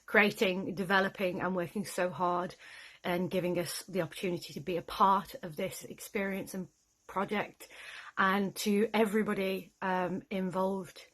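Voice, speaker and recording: A woman's voice: medium pitch, fairly even intonation, normal speed, a bit bright, rough recording. A woman voice actor giving an unscripted thank-you message in an earnest, hesitant way.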